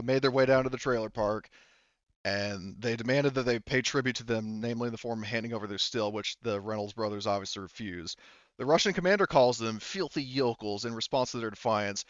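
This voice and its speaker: A male game master narrates casually, with loose, sweary phrasing and a halting flow. His medium-pitched voice is smooth and clear in tone. The recording is dry but somewhat dull and lo-fi. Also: a bit bright, no room sound. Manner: informal, profane, halting delivery.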